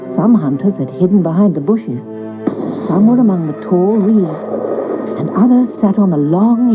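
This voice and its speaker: A woman narrating a scripted children's story in an expressive storyteller's style. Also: fairly low pitch, dull audio.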